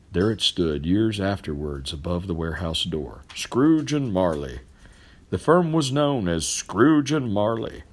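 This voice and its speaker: A middle-aged man narrates literary fiction as an expressive storyteller, with emphatic, theatrical and deliberate phrasing. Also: on the low side. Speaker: storybook reader.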